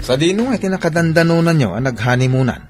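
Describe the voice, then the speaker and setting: A man's voice: on the high side, expressive, fast, music in the background, lightly acted. A man playing a jovial, teasing godfather. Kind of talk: Ilocano radio drama acting.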